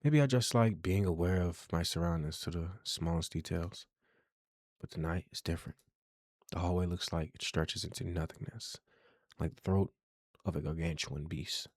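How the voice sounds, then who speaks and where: A man with a very low voice reads horror game narration slowly and deliberately. The delivery is hushed and dramatic, recorded close and dry with no room sound. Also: no echo. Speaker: game streamer, channel host.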